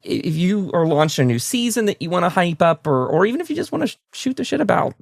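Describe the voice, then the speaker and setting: A man's voice: sits high, very animated, fast talker, gravelly, no room sound, clean studio sound. A man hosting a casual interview show, speaking effusively and often stammering over repeated words. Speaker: talk show host.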